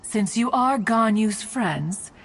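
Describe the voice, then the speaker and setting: A woman's voice: a little high, expressive, takes it slowly, a little hiss or hum. An actress playing a haughty yet fond ancient female immortal in a video game, speaking in a stately, archaic manner. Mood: stately, self-assured. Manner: stately, archaic, calls herself "one". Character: haughty, formal ancient female adeptus, fondly meddling and chatty. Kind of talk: video game character acting.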